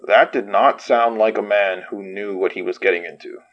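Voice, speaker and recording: A man playing a concerned, protective friend in an audio drama, soothing and a little halting. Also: fairly low pitch, lo-fi sound, close to an everyday voice. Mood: exasperated. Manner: soothing, halting when worried.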